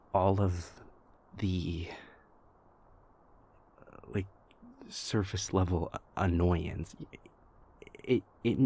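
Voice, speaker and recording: A man performs a young vampire prince in an intimate audio drama. The voice sits fairly low and moves very slowly, with halting restarts and weighty pauses. He sounds sincere, troubled and exasperated, like someone venting to a partner. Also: mellow, breathy edge, exaggerated voice. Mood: worried. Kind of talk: intimate audio roleplay acting.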